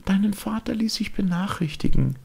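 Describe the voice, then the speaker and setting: A man voicing a gentle, teasing young fairy woman in a storybook reading, soft, patient and sisterly, with a light breathy voice. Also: high voice, animated, normal speed, voice pushed up, exaggerated voice. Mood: tender. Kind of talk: audiobook storytelling.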